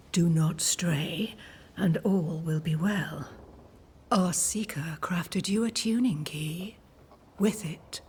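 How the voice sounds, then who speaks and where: Game witch leader: lowish, very breathy, measured, ominous, cryptic guidance. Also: darkish tone, heavily acted. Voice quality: breathy, husky. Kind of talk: dramatic video game acting.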